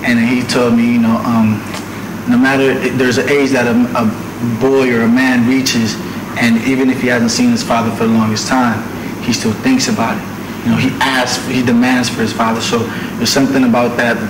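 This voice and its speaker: A young man from Nigeria who speaks with a relaxed American accent, tossing in slang and a steady "man" as he chats with his interviewers. His voice sits at an ordinary middle pitch. The room around him is echoey, with a steady noise underneath. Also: moderate pace. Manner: laid-back slangy talk, often ending with "man". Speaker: musician guest. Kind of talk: casual interview chat. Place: studio. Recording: roomy studio with background noise.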